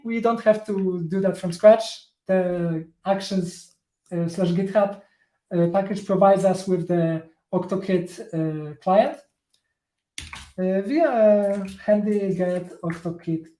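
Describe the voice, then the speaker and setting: Polish-accented male tech presenter, slow and halting, filler-heavy delivery, mellow voice, roomy echo. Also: high voice. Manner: halting, many fillers and restarts. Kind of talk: live coding talk.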